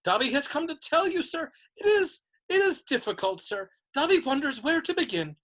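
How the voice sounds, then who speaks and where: A woman reading a story aloud and voicing a small, earnest male house elf in a high-pitched voice, humble and over-polite, calling everyone sir. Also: very animated, moderate pace, a bit bright.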